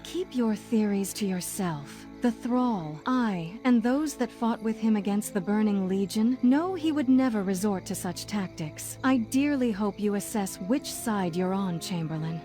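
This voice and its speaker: A woman plays a firm, loyal noblewoman from a fantasy game. Her voice is soft-edged and medium in pitch, composed and commanding, with faint music underneath. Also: animated, measured pace, few pauses, close to an everyday voice. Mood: stern. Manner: composed, authoritative. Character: principled noble lady ruler, firm and loyal. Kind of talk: fantasy game cutscene acting.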